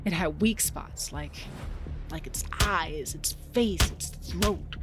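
A woman's voice: fairly low pitch, slow, music in the background. An actress playing Tasha, a tough, rage-driven woman cage fighter, narrating in the first person in an audio drama with a brooding, dramatic delivery. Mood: brooding, intense.

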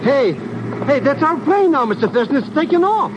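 A nervous small-time crook from a 1950s radio drama. His voice sits high and leaps around as he stammers and frets. The old broadcast is dull and hissy. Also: sing-song, moderate pace. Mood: flustered. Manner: stammering, flustered. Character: jittery, excitable, small-time crook sidekick.

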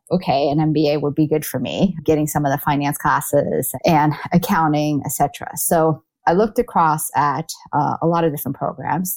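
A woman's voice: low voice, a little mellow. A woman in her forties from Taiwan, answering interview questions in a reflective way, with fillers and restarts. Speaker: guest, alumna.

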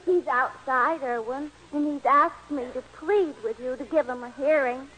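Devoted, pleading wife, very high plaintive voice, vintage 1940s radio comedy. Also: female voice, normal speed, fairly bright tone, rough recording. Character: plaintive, devoted young wife of meek man. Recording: old radio broadcast.

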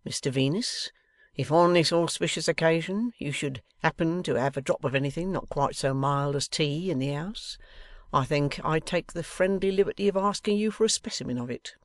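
A man acts out a sly Victorian bully for an audiobook. The rogue sneers and blusters with impudent contempt, in rough colloquial phrases. The recording is dry and close. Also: fairly high pitch, moderate pace, no room sound, voice pushed up, lightly acted. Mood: smug. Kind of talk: dramatised audiobook character voice.

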